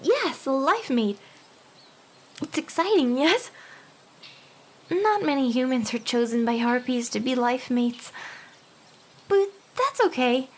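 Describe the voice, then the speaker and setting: A woman playing an eager, nervous young female harpy in an anime ASMR roleplay, halting and flustered, with stammers and breathy, whispery touches. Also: sits high, expressive, exaggerated voice. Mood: excited, proud. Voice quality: breathy, often slipping into whispers.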